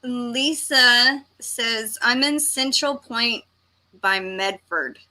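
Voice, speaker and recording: A woman hosts a casual livestream. Her voice is bright and slightly high, and she takes her time as she chats and reads out comments. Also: extremely slow. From Texas, United States. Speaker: livestream host. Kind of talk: casual chat, reading viewers' comments aloud.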